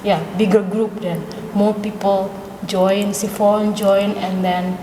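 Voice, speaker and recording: Indonesian-accented woman, plain storytelling; echoey room with clear noise. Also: medium pitch, flat delivery, slow, dark tone. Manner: plain storytelling, simple phrasing. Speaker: parishioner, choir organizer. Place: church. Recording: roomy echo with clear background noise.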